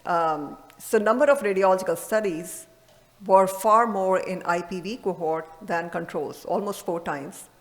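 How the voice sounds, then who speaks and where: A woman's voice: medium pitch, measured pace. Woman lecturing in a reverberant hall. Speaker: radiologist presenting at a medical conference. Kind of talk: conference lecture.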